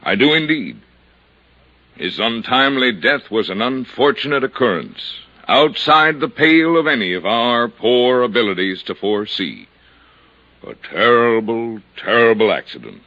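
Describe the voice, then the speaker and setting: An actor playing a stuffy, evasive older mayor in an old radio drama, with a pompous, formal delivery. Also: male voice, medium pitch, lively intonation, a little slow. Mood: grave, stern. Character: stuffy small-town mayor, solemn, evasive older man. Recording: dull lo-fi old radio recording.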